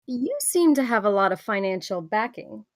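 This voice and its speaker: A woman playing a brisk, wry female adventurer for hire in a fantasy script read. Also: mid-range voice, big pitch swings, dry recording, studio quality.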